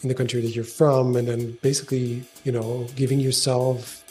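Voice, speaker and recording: A man's voice: medium pitch, little pitch movement, normal speed, a little mellow, faint music behind. A man from the Netherlands, co-hosting a podcast and speaking hesitantly with many ums. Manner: hesitant, lots of ums and uhs. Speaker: podcast co-host. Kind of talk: casual chat.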